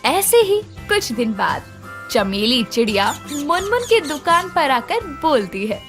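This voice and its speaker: Woman reading a kids story, high voice, really animated, music under it. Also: measured pace. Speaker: narrator. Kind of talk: scripted children's story narration.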